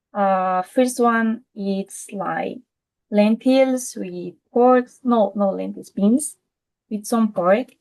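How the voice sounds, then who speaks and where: A woman from Spain with a Spanish accent gives an informal presentation over a video call, in simple, slightly halting phrasing. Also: medium pitch, low-quality recording. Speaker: guest presenter.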